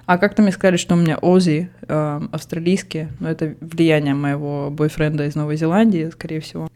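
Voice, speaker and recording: A young woman co-hosting a casual podcast, animated and laughing as she tells stories. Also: low voice, brisk. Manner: chatty, laughs often, animated storytelling. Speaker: podcast co-host.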